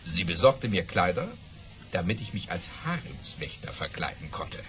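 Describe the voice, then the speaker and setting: An actor playing a boastful baron who tells tall tales with theatrical relish in a German audio drama. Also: male voice, sits low, big pitch swings, moderate pace, fairly bright tone. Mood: confiding.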